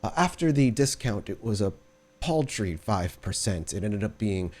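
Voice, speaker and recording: A man voicing a courteous male servant of a vampire lady in a tabletop game, formal and measured. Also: on the low side, animated, a little mellow. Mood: deferential.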